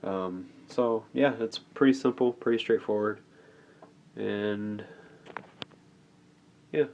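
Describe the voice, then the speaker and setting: A man's voice: fairly low pitch, slow pace, creaky, a little mellow, highs cut off. A man giving an informal, unscripted how-to demonstration as a hobbyist video host, explaining somewhat hesitantly as he goes. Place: indoors at home. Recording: faint background noise.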